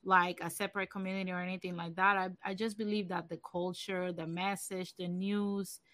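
A woman's voice: lowish voice, little pitch movement, fairly quick, a bit bright, dry recording. A woman from Puerto Rico hosting a casual livestream chat, talking in a chatty way with many fillers. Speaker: livestream host.

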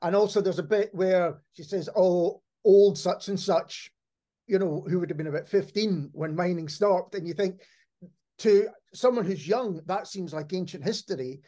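A man's voice: high-pitched, moderate pace, warm tone. A man in his fifties hosting a podcast, chatting casually and conversationally with his guest. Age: 50s. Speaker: podcast host, interviewer.

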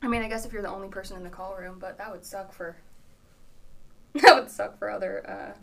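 A woman co-hosting a casual podcast chat in a small room, loud and excitable, laughing often. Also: medium pitch, a little fast, gravelly. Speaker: podcast co-host. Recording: noisy room recording.

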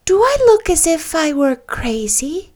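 A woman reading an audiobook, voicing an eager, imaginative young schoolteacher girl who talks in an excitable, gushing way. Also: high voice, slow, pitched above the performer's own voice, full character voice. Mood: excited.

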